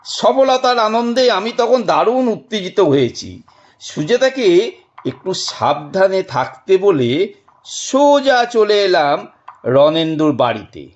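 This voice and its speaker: A man narrating a first-person detective story in a measured, dramatic manner. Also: high voice, sing-song. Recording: dull, band-limited audio. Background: faint background music.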